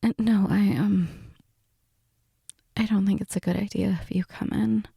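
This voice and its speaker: A woman acting as a flustered middle-aged mom who is under the weather, in an intimate roleplay audio. Her voice is breathy, husky and very mellow. She speaks slowly and haltingly, reluctant and soft, often close to a whisper. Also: medium pitch, steady tone, no echo, full character voice. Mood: hesitant, flustered. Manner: hesitant, halting, soft-spoken.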